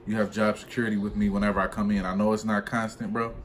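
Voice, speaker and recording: A man's voice: lowish voice, fast. A young man who drives trucks, telling a personal story in a casual, slangy, conversational way for a vlog. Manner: slangy, conversational, animated retelling. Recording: clearly noisy room ambience.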